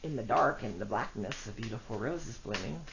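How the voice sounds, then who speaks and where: A woman with a congested, rough voice gives a chatty tarot reading, talking to her cards and her viewers. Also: rumbling low, lively intonation, fairly quick. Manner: chatty, self-deprecating, talks to cards and viewers. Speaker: tarot reader. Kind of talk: casual solo tarot reading. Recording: dull lo-fi audio with faint noise.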